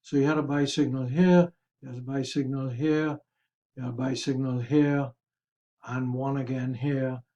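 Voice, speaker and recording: Male market commentator, dark mellow tone, a little raspy and hoarse, careful hesitant explanatory delivery. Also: mid-range voice, steady tone, moderate pace, muffled recording. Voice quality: slightly raspy. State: hoarse, throat-clearing. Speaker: host of a stock market review.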